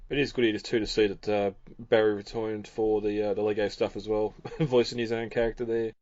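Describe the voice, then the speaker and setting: A man's voice: lowish voice, a little fast, no room sound, lo-fi sound. A man hosting a podcast, chatting casually with fillers and chuckles, then reading a recap. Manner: relaxed, rambling, with frequent fillers and chuckles. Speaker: podcast host. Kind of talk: casual chat and scripted recap.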